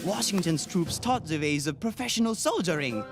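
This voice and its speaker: A man's voice: fairly high pitch, sing-song, moderate pace, gravelly. An actor voicing an eager young nobleman officer in a cartoon, speaking formally and earnestly. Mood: admiring. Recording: cartoon with music behind.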